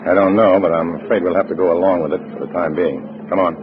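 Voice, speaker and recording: An actor with an American accent playing a cool undercover agent in a 1950s radio drama, narrating in a clipped, wry way. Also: male voice, sits low, steady tone, fast talker, background noise, lightly acted. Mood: feigned innocence. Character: cool undercover agent narrating his double life as communist. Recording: dull vintage radio, music behind.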